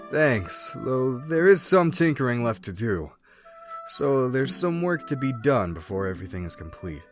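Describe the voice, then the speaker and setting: A man's voice: medium pitch, big pitch swings, a little slow, faint music behind. A man voicing an easygoing young male rat drifter who talks in a laid-back, friendly, chatty way in a fan comic dub.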